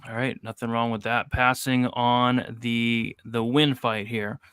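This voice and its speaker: Bright, clear-voiced young man hosting. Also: medium pitch, level tone, a little slow, no echo, studio quality. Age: 20s. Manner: loose, jokey, reads chat questions aloud. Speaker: host of a betting show. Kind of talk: casual livestream chat.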